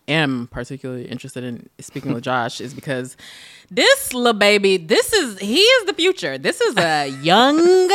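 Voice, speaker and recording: A woman's voice: on the low side, a bit bright, dry recording, clean studio sound. A woman hosting a podcast and interviewing a guest in a playful, teasing way, full of exclamations and asides. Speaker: podcast host. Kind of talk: casual conversational interview.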